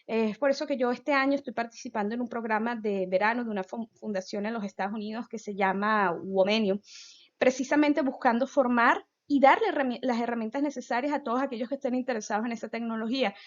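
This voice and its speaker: A Venezuelan woman in her thirties gives a relaxed science talk and keeps speaking straight to the listener in a casual way. Her voice sits a little high. The recording sounds dull and lo-fi. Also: quick pace. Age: 30s. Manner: chatty, addresses listener directly. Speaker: physicist giving an outreach talk. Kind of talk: informal science explainer.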